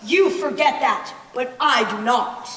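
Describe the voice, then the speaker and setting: A young teen acts a cruel, embittered ruler in a school play, commanding and imperious. The words come slowly in a high voice, echoing through a big hall. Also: fairly bright tone. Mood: imperious, bitter. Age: young teenager. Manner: imperious, deliberate. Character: embittered, cruel, melancholy Sultan, commanding and threatening. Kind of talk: school stage play acting. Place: school stage in a large hall. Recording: echoey large hall.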